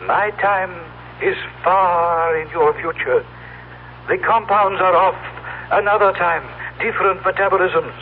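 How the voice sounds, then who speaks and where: A man's voice: high-pitched, unhurried. An actor playing a solemn, weary man from the far future, with formal, stilted phrasing, in an old-time radio drama. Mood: stern, explaining. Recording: tinny, noisy old radio recording.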